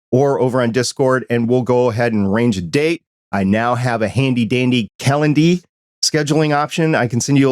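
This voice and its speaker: A man hosts a hobby podcast in an upbeat, newsy way, running through announcements before chatting with his guest. His voice sits at a middle pitch. It is recorded close and dry, with no room sound. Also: dry recording. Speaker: podcast host. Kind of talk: casual podcast intro and interview.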